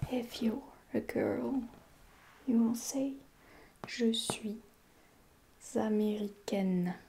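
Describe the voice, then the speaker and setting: A French woman, a native French speaker with a French accent, softly whispering a patient ASMR roleplay lesson as a French teacher. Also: fairly high pitch, drawn-out words, gravelly, slight background noise. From France. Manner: soft whispering, patient repetition of phrases.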